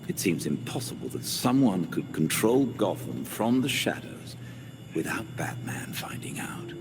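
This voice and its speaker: An actor playing a refined, measured older butler and gentle mentor in a video game cutscene. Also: male voice, fairly low pitch, very animated, noisy background. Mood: grave, reflective. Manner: formal, measured.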